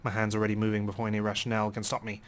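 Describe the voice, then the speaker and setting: A man's voice: lowish voice, completely flat delivery, very fast, a little hiss or hum. A man reading a visual novel's narration aloud as a let's play host.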